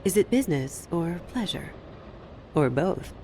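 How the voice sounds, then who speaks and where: An actress playing the smooth female hostess of a seedy club, speaking in a hushed, breathy, suggestive way. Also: lowish voice, very animated, measured pace, a little hiss or hum, rough recording. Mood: teasing.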